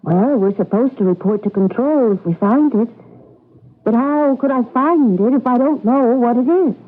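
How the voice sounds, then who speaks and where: A woman's voice: fairly high pitch, normal speed, close to an everyday voice. An actress playing a naive, earnest, compliant female citizen of a regimented future in a radio drama, with a questioning manner. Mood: puzzled. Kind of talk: 1980s radio drama acting. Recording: thin phone-like old radio recording.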